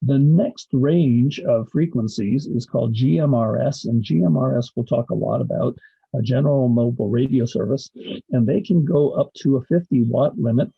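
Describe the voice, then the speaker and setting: A man giving an informal instructional slide presentation, explaining things in a halting way with pauses mid-sentence. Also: medium pitch, gravelly, breathy edge, lo-fi sound. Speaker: college instructor and radio club advisor giving a presentation.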